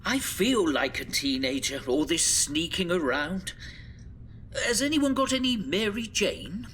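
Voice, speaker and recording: An actor playing an impish, forgetful old British care-home resident who rambles, in an audio drama. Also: male voice, high-pitched, sing-song, unhurried, fairly bright tone, slight background noise, exaggerated voice. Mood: giddy.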